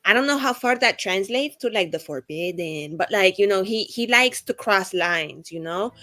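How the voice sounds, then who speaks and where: A woman's voice: mid-range voice, animated, normal speed, bright, forceful tone, no echo. A woman playing a tabletop game, chatting casually and describing her character in a playful, wry way. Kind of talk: casual improvised roleplay chat.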